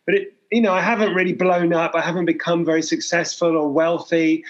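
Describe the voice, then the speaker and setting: A man's voice: a little high, flat delivery, brisk, highs cut off. A man from England in his forties, a guest artist talking candidly in an interview, rambling and self-deprecating with many restarts.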